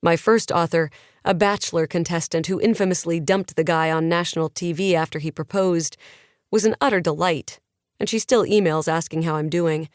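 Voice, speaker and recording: A woman's voice: fairly low pitch, steady tone, normal speed, no room sound, clean studio sound. A woman narrating a first-person novel as an audiobook in a wry, conversational storytelling manner.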